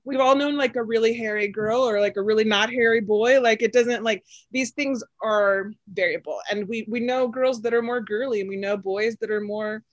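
Chatty woman with a lowish voice. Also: moderate pace, few pauses, no echo, lo-fi sound. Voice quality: low voice for a woman. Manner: animated, chatty, many fillers and laughs. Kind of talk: casual podcast chat.

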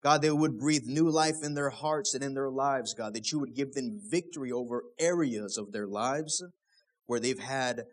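A man's voice: medium pitch, normal speed. A young man, a pastor, preaching a sermon in a casual, conversational way, full of rhetorical questions.

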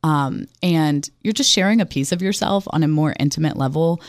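A woman's voice: deep voice, moderate pace, no echo, studio quality. A young woman giving a chatty radio interview as a guest, with an upbeat, enthusiastic manner. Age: young adult. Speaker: pageant titleholder guest.

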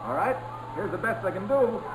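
An actor playing a modest, polite and eager young ballplayer in an old-time radio drama. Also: male voice, high-pitched, animated, brisk, noisy background. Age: young adult.

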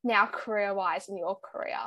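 A woman's voice: medium pitch, steady tone, normal speed, pushed and bright, no echo. A woman hosting a podcast, introducing her guest and asking questions in an upbeat, enthusiastic way. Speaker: podcast host. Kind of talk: scripted-feeling podcast hosting.

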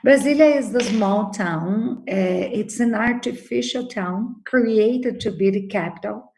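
A woman's voice: mid-range voice, measured pace, darkish tone, a little hiss or hum, poor audio. A woman in her fifties from Brazil with a Brazilian Portuguese accent, answering interview questions hesitantly with many fillers. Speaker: guest, attorney and novelist.

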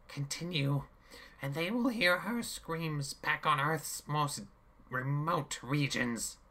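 Chaos, a man doing a theatrical high villain. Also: bright, forceful tone, exaggerated voice. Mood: menacing. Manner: sneering, slow and theatrical, bursts into screams. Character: menacing male villain, mock-sweet and cruel.

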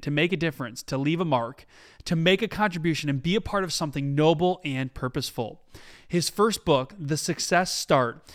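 A man hosting a podcast, introducing and interviewing a guest with upbeat energy. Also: fairly high pitch. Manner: upbeat and energetic, rising excitedly when greeting friend. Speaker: podcast host.

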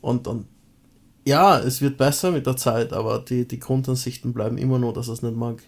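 Gravelly, hesitant man. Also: mid-range voice, steady tone, brisk. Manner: hesitant, repeats words as he starts. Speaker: podcast participant. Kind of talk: casual chat.

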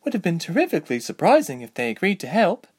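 A man's voice: a little high, wildly swooping pitch, fast, slightly rough, pitched above the performer's own voice, exaggerated voice. A man voicing a breezy, wry female elf soldier in a fantasy storybook.

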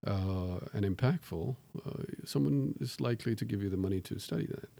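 A middle-aged man with a low, gravelly voice and a mellow tone speaks as a guest in an interview. He reflects thoughtfully and pokes fun at himself, pausing on fillers and restarting his phrases. The sound is dry and close. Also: dry recording. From born in Tanzania to Indian parents. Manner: thoughtful, self-deprecating, many fillers and restarts.

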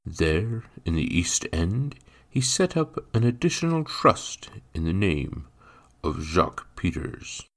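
A grave storybook official voiced by a male narrator with a fairly low voice. He delivers his words formally, in measured phrases. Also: big pitch swings, a bit bright, exaggerated voice. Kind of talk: dramatised audiobook character voice.

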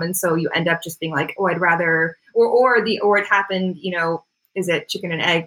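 Lower-pitched North American woman, casually rambling. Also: a bit bright, rough recording. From Canada-born, grew up in Florida, United States. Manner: rambling, self-deprecating, laughs often while talking. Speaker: interview guest, artist and climate activist. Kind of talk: casual interview conversation.

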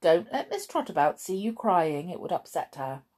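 A kindly, avuncular little gentleman from a children's storybook, played by a woman narrator. He speaks politely and gently coaxes, with varied intonation. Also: lowish voice, big pitch swings, normal speed. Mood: gentle, soothing.

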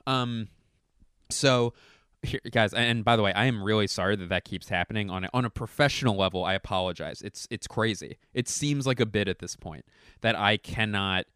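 Low-voiced hoarse comedian, throat-clearing. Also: male voice, normal speed, a bit bright, dry recording, studio quality. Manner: rambling, self-correcting, profane. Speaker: stand-up comedian. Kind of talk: casual talking-to-camera commentary. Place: at home.

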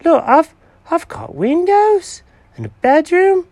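A dramatic, bossy male cat in a storybook tale, as a man puts him on. His voice jumps high and swings wildly with emphatic, theatrical flair. It sounds dry and close. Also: sing-song, a little slow, dry recording. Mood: excited.

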